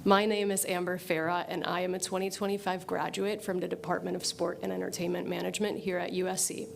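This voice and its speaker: A woman's voice: on the low side, flat delivery, creaky, slight background noise. A woman in her twenties from Puerto Rico, giving an earnest, thankful prepared speech at a podium. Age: 20s. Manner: earnest, thankful, measured delivery. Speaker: scholarship graduate giving a speech. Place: event hall at a podium.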